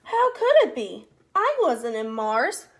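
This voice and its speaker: A woman's voice: a little high, big pitch swings, takes it slowly, voice pushed down, exaggerated voice. A woman voicing a proud, playfully evasive old grandpa in emphatic storybook dialogue. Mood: protesting.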